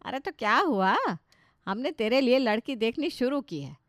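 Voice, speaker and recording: A woman's voice: fairly high pitch, lively intonation, normal speed, no room sound, close to an everyday voice. A woman acts out a bossy Indian mother from a family storybook. She is middle-aged, firm and scolding, and pushes every word with emphasis. The sound is very dry. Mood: insistent. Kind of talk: animated storybook dialogue.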